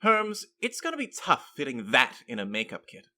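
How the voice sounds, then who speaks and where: A flamboyant, playfully possessive young lover in a comedic audio drama. His bright, forward voice swings animatedly through effusive, teasing lines. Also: medium pitch, wildly swooping pitch, normal speed, exaggerated voice.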